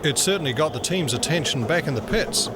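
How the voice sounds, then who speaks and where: A man narrating a documentary in a brisk, measured way and asking interview questions. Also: on the high side, animated. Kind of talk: scripted narration. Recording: loud race-car noise behind. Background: race cars.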